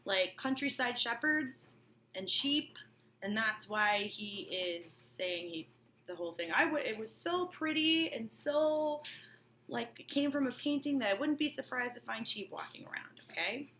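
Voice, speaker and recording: A female teacher reads a novel with a lively, swooping delivery and a bright tone. She drops in informal explanations as she goes, with clear room noise in the background. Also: fairly high pitch, unhurried. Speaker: teacher reading aloud. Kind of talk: expressive novel read-aloud with explanatory asides.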